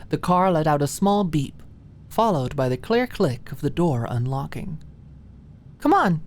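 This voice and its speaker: Female audiobook narrator, low register, scripted ASMR story reading, some rumble in the recording. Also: darkish tone.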